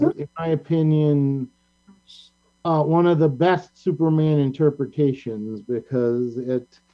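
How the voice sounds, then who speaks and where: A man hosting a casual pop culture discussion, talking in a halting, rambling, opinionated way. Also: on the high side. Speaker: talk show host. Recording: band-limited, dull lo-fi sound.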